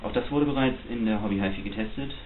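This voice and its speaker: Low-voiced man, thin hissy recording. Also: fast. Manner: technical, explanatory. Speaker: hobby loudspeaker reviewer. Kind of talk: spontaneous unboxing commentary. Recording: muffled phone-like sound, clear hiss.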